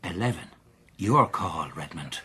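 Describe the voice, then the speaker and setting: An actor playing a stout, shabby, slyly genial Irish captain with a husky voice in a radio drama. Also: male voice, sits low, expressive, a little slow, noisy background. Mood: terse.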